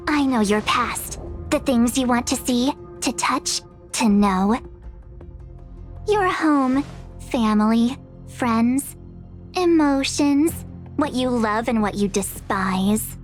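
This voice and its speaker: A woman's voice: high-pitched, lively intonation, slow pace, pauses often. A woman voicing a bubbly, impulsive teenage girl adventurer in a video game. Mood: calm, eerie. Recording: music behind the voice.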